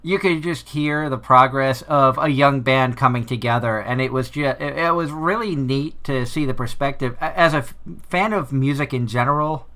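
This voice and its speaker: Bright-voiced Boston man hosting. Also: mid-range voice, normal speed, hardly any pauses. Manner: chatty, rambling with restarts. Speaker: podcast host. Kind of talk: casual chat.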